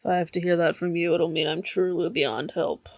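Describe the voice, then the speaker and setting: Female cop for audio drama, played by a woman: fairly low voice, world-weary, dryly sardonic detective. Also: steady tone, brisk, a little mellow, no echo, natural voice.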